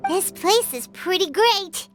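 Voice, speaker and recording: A woman voicing a small, childlike, perky female fairy companion in a video game, with a squeaky, chirpy voice. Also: slow pace, over music, heavily acted. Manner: chirpy, excitable, speaks of self in third person.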